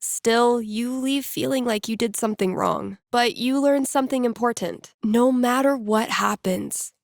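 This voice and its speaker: A woman's voice: on the high side, measured pace, vocal fry, no echo, studio quality. A woman playing a wry, playful young small-town radio DJ in a video game, talking casually with teasing asides. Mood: gentle, wry storytelling.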